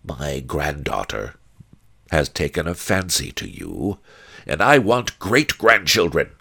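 Man doing a massive old grandmother, icy and domineering, curt grunted orders, slow, gravelly deep growl. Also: very animated, pushed and bright, full character voice. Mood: commanding. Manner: curt, commanding, menacing. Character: huge cold tyrannical old grandmother.

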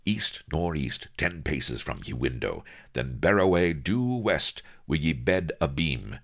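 A man voicing a prim gentleman pirate captain in an audiobook, with pompous, archaic seafaring diction. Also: a bass voice, big pitch swings, a little slow, heavily acted. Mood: commanding.

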